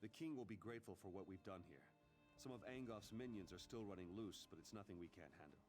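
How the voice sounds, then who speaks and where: An actor playing a dutiful male military commander in a fantasy video game, earnest, measured and authoritative. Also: fairly low pitch, darkish tone, close to an everyday voice. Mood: assured. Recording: game music behind the voice.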